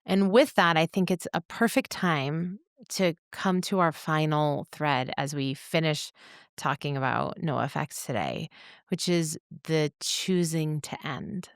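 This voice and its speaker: A woman with a low-pitched voice speaks in a dry, close recording. Her conversational commentary is reflective and measured, with thoughtful phrasing suited to a coach co-hosting a discussion. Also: no echo. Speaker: podcast co-host and coach.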